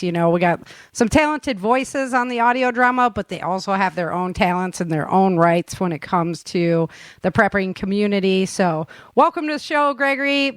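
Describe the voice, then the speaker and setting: A woman's voice: medium pitch, clean tone, no room sound. An American woman hosting a podcast in a casual, chatty, slangy way. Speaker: podcast host. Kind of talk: casual upbeat podcast chat.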